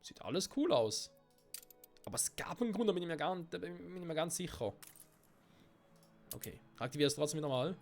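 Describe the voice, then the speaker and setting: A man's voice: on the high side, lively intonation, fairly quick, creaky, long pauses. A man with a cold giving casual gameplay commentary, swearing and thinking aloud to himself. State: stuffy-nosed with a cold. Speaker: let's play gamer. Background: faint game music.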